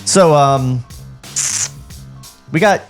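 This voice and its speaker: A man's voice: a little high, drawn-out words, soft background music. A man co-hosting a podcast, narrating screen directions from a script with casual asides. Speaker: podcast co-host reading a script.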